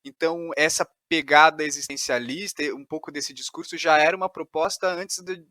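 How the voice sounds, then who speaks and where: Male interviewer, slightly high, bright tone, dry close sound, inquisitive and summarizing. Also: fairly even intonation, moderate pace, no room sound. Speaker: podcast host, interviewer. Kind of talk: casual interview conversation.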